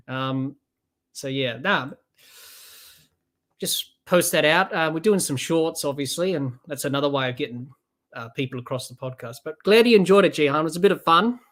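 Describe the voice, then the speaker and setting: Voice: medium pitch, moderate pace, pauses often, a bit bright. A person in their twenties with an Australian accent, hosting a casual live cricket podcast, chatting loosely and reading out viewer comments between balls. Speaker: podcast host.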